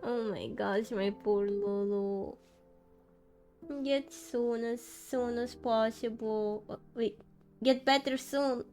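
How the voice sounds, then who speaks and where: Woman streamer, very high voice, slow pace, casual halting chat, game music faintly behind. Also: fairly even intonation, a little mellow. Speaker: livestreamer.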